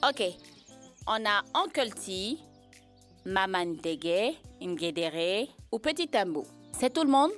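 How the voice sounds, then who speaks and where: A woman voicing a playful, competitive child in a French-dubbed educational cartoon, expressive and exclaiming. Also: medium pitch, slow, soft background music. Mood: thoughtful.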